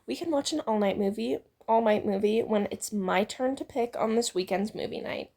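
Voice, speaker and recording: A woman's voice: medium pitch, moderate pace, mellow, close to an everyday voice. A woman voicing a friendly, scheming, businesslike teenage girl with a confident manner in a story reading.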